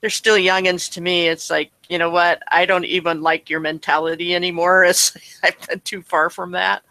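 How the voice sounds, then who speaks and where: A man in his late fifties leading an online Bible study, talking in a relaxed, rambling way. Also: high-pitched, moderate pace, clean tone, no room sound, low-quality recording. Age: 50s. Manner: relaxed, rambling, chuckles often. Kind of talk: casual online group chat.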